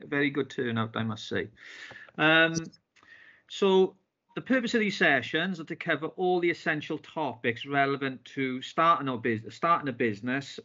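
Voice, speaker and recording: Man hosting a business video call, bright forceful voice, bit muffled, lots of ums. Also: mid-range voice. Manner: polite, with frequent fillers and restarts. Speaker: business club host. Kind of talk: online meeting hosting. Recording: dull lo-fi video call audio.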